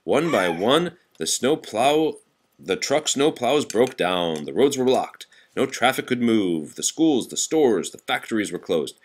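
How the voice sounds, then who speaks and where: A man reading a children's picture book aloud to a child in an expressive, patient way. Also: mid-range voice, measured pace. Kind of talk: storybook read-aloud to a child.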